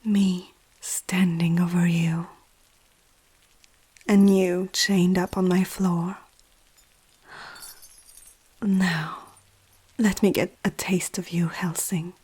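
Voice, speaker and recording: A woman voicing a powerful, teasing female vampire noble in an ASMR roleplay, speaking softly and often close to a whisper, with an aristocratic, self-assured manner. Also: fairly low pitch, flat delivery, very slow, pauses often, heavily acted, low-quality recording. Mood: menacing, seductive. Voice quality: soft, breathy, often near whisper. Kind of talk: ASMR roleplay addressed to the listener.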